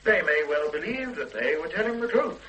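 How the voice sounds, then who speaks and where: An actor in a radio drama playing a police superintendent who questions people in a calm, formal and persistent way. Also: male voice, a little high, a little fast, poor audio. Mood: measured. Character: measured, dogged police superintendent. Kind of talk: 1980s radio drama acting.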